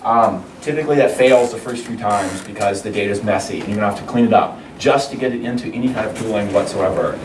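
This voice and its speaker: Hoarse middle-aged man lecturing in echoey hall. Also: lowish voice, fast talker, few pauses, far from the mic, noisy background. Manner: rambling, conversational, many restarts. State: hoarse from a cold. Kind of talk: university lecture. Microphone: far room mic.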